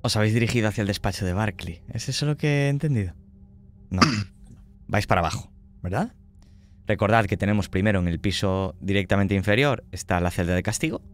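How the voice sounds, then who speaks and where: A man's voice: on the low side, brisk, a little hiss or hum. A man narrating a tabletop horror game as game master, speaking conversationally and checking in with his players.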